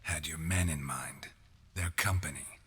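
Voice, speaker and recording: Very deep, raspy, grim hunter. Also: male voice, unhurried, a bit bright, heavily acted. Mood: probing. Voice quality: very deep, gravelly, breathy rasp. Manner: terse, restrained, insistent. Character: grim, terse male hunter for hire, searching. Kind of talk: video game character acting. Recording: reverberant hall.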